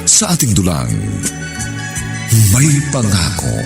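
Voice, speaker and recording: A man's voice: low-pitched, huge pitch swings, slow pace. A man announcing a radio drama in a theatrical, scripted style. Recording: very loud music behind the voice.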